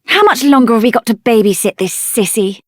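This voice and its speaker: Feisty young sorceress for a game, high voice, sassy n sharp-tongued, super dry sound. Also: female voice, expressive, a little fast, dry recording. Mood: sassy, scornful. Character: feisty young female sorceress seeking revenge. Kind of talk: video game voice acting.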